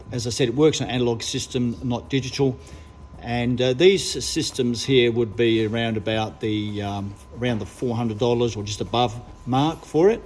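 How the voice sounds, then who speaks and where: A man's voice: medium pitch, a little mellow, a little hiss or hum. A middle-aged man, a tour guide, casually explaining car radios and aerials in a radio shop and workshop, with many ums and uhs. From Australia.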